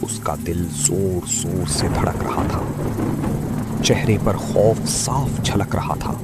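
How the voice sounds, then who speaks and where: Deep, slow male horror narrator. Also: heavy background noise. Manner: dramatic, suspenseful, deliberate. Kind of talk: scripted horror story narration. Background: loud music.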